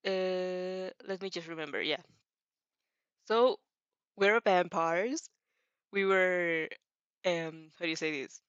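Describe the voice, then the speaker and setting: A woman who is a non-native English speaker, hosting a casual fan fiction read-aloud with an informal, halting, giggly delivery and self-corrections. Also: lowish voice, a bit bright, no echo, highs cut off. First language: not English. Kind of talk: casual reaction chat and story reading.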